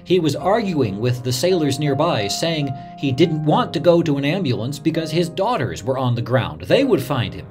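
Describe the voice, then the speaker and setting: Actor as a somber radio reporter looking back. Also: male voice, on the high side, sing-song, normal speed, few pauses, music in the background. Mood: earnest. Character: radio reporter recalling a disaster, earnest, somber man. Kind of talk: scripted first-person documentary reenactment.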